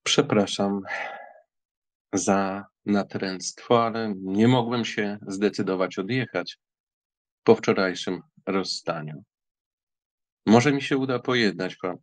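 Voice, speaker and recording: Male actor as young count, lowish voice, slow, polite, ironic, audiobook drama. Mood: humble, conciliatory. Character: proud young German count, courteous and ironic. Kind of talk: acted audiobook dialogue.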